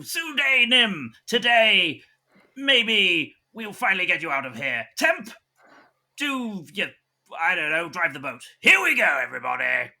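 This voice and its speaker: A man's voice: a little high, sharp tone, full character voice. A man playing a brash, self-important surgeon in an improvised comedy medical drama, with a theatrical, bossy delivery. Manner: barking orders, theatrical.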